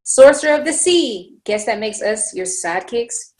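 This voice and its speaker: A woman reading the part of an eager teenage girl sidekick at a table read, in an excited tone. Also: on the high side, moderate pace, voice pushed up, lightly acted.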